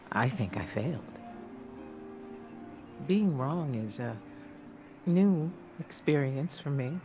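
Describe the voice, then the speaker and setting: An actress playing a calm, scholarly woman mentor in a video game, speaking in a measured, formal, thoughtful way. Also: rumbling low, heavily acted. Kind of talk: video game character dialogue.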